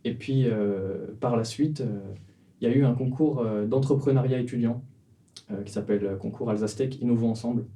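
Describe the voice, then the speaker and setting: A man's voice: medium pitch, fairly quick, mellow, distant mic. A man in his twenties from the Vendée, a startup cofounder telling the story of his company in an interview, with many fillers and restarts. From France, Vendée. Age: 20s. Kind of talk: casual interview answers.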